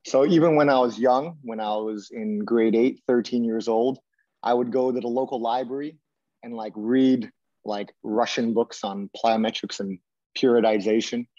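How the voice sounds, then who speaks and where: A man, a strength coach and guest, telling his story in a relaxed, steady way over a video call. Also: mid-range voice, normal speed, dry recording, highs cut off. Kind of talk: casual remote interview.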